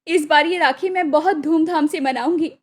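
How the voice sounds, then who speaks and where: A woman's voice: high-pitched, moderate pace, natural voice. A woman voicing a hopeful, devoted young village sister in a cartoon story, earnest and pleading. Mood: happy, hopeful. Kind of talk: Hindi cartoon moral story acting.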